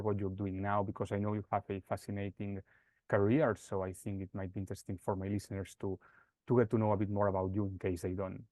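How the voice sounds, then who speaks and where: A man hosting a conversational podcast interview, speaking in a halting, hesitant way. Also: lowish voice, dark tone, dry recording. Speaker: podcast host.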